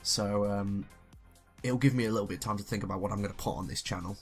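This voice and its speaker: A man's voice: fairly low pitch, quick pace, vocal fry, faint music behind. A young man reading a story aloud and talking casually as a comic YouTuber. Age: young adult. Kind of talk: scripted story narration and casual comic commentary.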